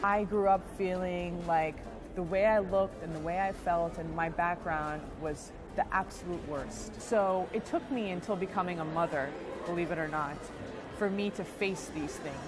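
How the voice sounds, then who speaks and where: Earnest woman over a music bed. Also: fairly low pitch. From United States. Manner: earnest, thoughtful, speaks in short phrases with pauses. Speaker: interviewee. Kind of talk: reflective personal account.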